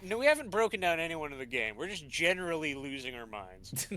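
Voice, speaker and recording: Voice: mid-range voice, very animated, fairly quick, bright, forceful tone. An amateur voice actor chatting casually with friends and reading video game lines in a playful, theatrical way. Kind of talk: casual group game dub with dramatic line reads. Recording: music behind the voice.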